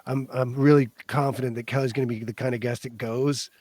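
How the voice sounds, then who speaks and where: A man with a raspy voice hosting a casual stream chat, easygoing and halting, with many fillers. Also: mid-range voice, level tone, darkish tone, dry recording, clean studio sound. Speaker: host.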